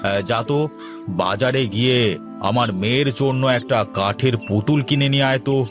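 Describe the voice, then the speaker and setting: A man's voice: medium pitch, normal speed, faint music behind, natural voice. A man voicing a protective, indulgent middle-aged father in a cartoon story. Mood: concerned. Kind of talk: cartoon voice acting.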